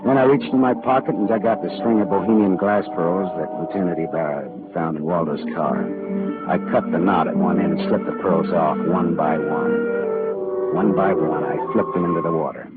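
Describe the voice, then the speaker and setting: A hard-boiled American private detective played by a man in a 1940s radio drama. The voice is very low, clipped and wry, world-weary yet decent. The sound is thin and tinny, an old broadcast with music. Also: moderate pace, lightly acted. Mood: somber narration. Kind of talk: 1940s radio drama acting and first-person narration.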